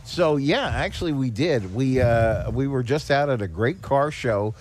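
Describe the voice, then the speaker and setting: A man's voice: medium pitch, animated, a little slow. A man speaking as an interview guest in a casual, explanatory way, upbeat and appreciative. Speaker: guest, charity executive director. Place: on location at a garage restaurant. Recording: clear background noise on location.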